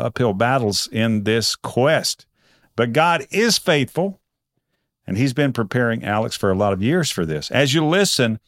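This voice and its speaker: An American man from Texas hosts a podcast. His voice sits at a medium pitch and he talks conversationally in short, deliberate phrases. The sound is dry, with very little room in it. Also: dry recording. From Texas, United States. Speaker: podcast host. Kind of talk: podcast introduction and casual interview.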